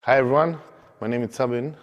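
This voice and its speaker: A man in his thirties, an armwrestler, speaking in short, halting English in a pre-match interview. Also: mid-range voice, in a large hall, highs cut off. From Romania. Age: 30s.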